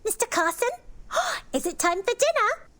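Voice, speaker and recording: A woman's voice: very high-pitched, sing-song, a little slow, full character voice. A woman voicing a polite, earnest young lady of a manor who is easily flustered, in a video game. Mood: polite, eager. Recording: loud background music.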